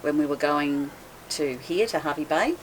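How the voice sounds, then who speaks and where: A woman's voice: low-pitched, moderate pace, a little hiss or hum, low-quality recording. A woman, a guest boat owner, chatting casually and laughing as she tells stories. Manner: chatty, laughs often, lively retelling. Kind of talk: casual storytelling chat.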